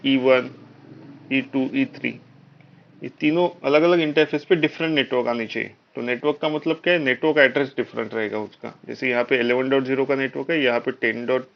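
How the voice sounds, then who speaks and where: A man with an Indian accent giving a live technical lecture as an instructor, explaining step by step with frequent check-in tags and mixing in English technical terms. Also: mid-range voice. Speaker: networking instructor. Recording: dull, lo-fi online class audio.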